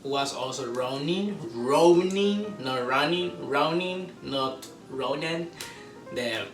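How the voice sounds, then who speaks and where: A man hosting a casual, unscripted movie review, talking like an excitable fan with drawn-out exclamations. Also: on the high side, distant mic, faint music behind. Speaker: host of a movie review show. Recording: roomy echo, off-mic, faint background noise.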